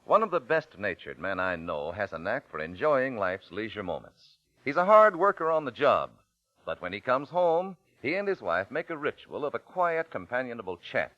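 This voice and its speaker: An American man reading a sponsor's commercial as a 1940s radio announcer, polished and measured in his salesmanship. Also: medium pitch, a bit bright, no echo. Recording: muffled vintage 1940s radio recording.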